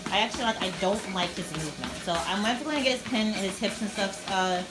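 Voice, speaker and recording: A woman chatting casually in a vlog while training her dog, rambling and coaxing him along in a high sing-song voice. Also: fast, very noisy. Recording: roomy echo with loud music behind.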